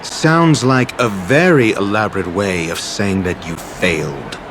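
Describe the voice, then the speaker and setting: A man playing a haughty, sardonic doctor in a video game, with a dry, scornful, measured delivery. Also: low-pitched, noisy background. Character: haughty, sardonic male doctor, coolly exasperated. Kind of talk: scripted video game voice acting. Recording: background music under the voice.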